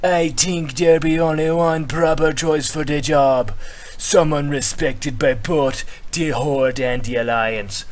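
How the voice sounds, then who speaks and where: A man voicing a proud, battle-hardened troll leader who speaks gravely and emphatically in a troll dialect. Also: on the high side, fairly even intonation, voice pushed up. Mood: grave, forceful. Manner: slow, emphatic troll dialect with dropped verbs.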